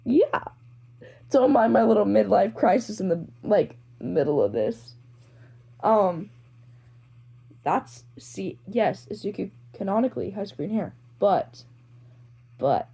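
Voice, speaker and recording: A woman's voice: mid-range voice, gravelly, dark tone, slightly rough. A woman with an informal manner, reading her own fan fiction and chatting to listeners as an amateur narrator. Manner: informal, self-deprecating, rambling. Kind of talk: amateur story narration and casual chat to listeners.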